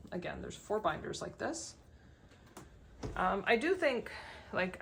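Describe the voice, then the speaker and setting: Low-pitched, slightly gravelly woman, casual show-and-tell. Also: a little hiss or hum. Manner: rambling, thinking aloud as she sorts. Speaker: collector giving a binder tour.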